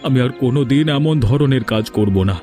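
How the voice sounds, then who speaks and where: A man's voice: mid-range voice, normal speed, warm tone, soft background music, natural voice. A man playing a sly elderly thief in a cartoon, stammering, evasive and pleading. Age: older. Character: sly elderly male thief posing as a guest, whining and pleading. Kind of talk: cartoon voice acting.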